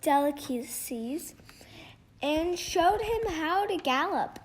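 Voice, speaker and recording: A female reader reading a children's picture book aloud in a halting way, stumbling over words and repeating phrases. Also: high voice, a little hiss or hum. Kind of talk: storybook read-aloud.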